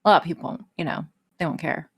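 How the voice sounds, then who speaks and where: A woman's voice: low voice, rapid-fire, slightly rough. A woman chatting casually as a podcast guest, blunt and emphatic, swearing freely. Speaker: podcast guest, audio roleplay artist. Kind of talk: casual, profane interview chat.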